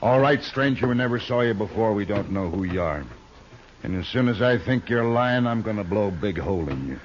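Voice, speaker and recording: Gruff, bullying male outlaw leader for an old radio western, played by a man; low voice, dull hissy recording. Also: lively intonation, fairly quick, close to an everyday voice. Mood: threatening. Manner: gruff, domineering, barking orders. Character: burly, threatening, suspicious frontier outlaw boss.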